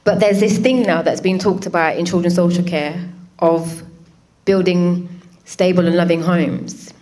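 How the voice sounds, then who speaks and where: A woman moderating a conference panel, gently asking questions without hurrying. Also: on the low side, fairly even intonation, measured pace.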